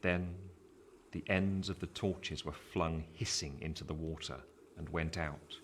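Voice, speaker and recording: The narrator of a radio drama, looking back soberly on his boyhood. The voice is deep and reads as scripted storytelling, with clear noise behind it. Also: fairly even intonation, a little slow. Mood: reflective, dramatic. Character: grown man soberly recalling his boyhood. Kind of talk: scripted first-person narration in a radio play. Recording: noisy background ambience.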